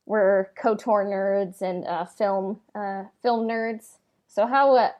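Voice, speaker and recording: A woman's voice: mid-range voice, darkish tone, dull audio. A woman co-hosting a podcast, speaking in a casual, conversational style. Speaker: podcast co-host. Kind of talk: casual chat.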